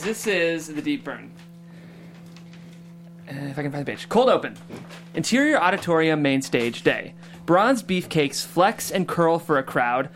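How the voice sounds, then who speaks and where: A podcast host welcoming listeners and reading scene directions in a chatty, upbeat way. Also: medium pitch. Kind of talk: hosting a table read and reading stage directions.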